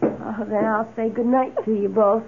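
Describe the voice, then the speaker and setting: An actress playing a meek, lonely, adoring maid in a radio drama, speaking in a timid, halting, wistful way. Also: female voice, fairly high pitch, fairly even intonation, gravelly. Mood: deferential. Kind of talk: old radio drama acting. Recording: tinny, hissy old radio recording.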